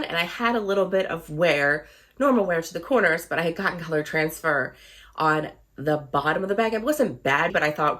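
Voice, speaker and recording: A woman with a somewhat low voice talks through a casual review, chatty and enthusiastic. Her voice is a little hoarse from recovering after losing it. Soft music plays faintly behind her. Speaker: fashion vlogger. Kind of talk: casual product review vlog.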